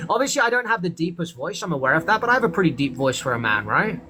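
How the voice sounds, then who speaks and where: A man's voice: a little high, sing-song, noisy background. A man hosting a livestream with callers, scolding the chat and defending himself. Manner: scolding, defensive, quick to complain. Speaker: livestream host.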